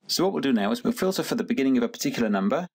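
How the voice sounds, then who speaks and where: A man narrating a scripted software tutorial in a methodical, instructional manner. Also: medium pitch, lively intonation, very fast, creaky, husky. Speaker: software demonstrator.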